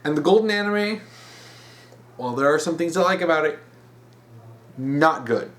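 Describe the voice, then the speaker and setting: A man's voice: on the high side, expressive, normal speed, fairly bright tone. A man giving emphatic opinion commentary as a video host, repeating words for stress.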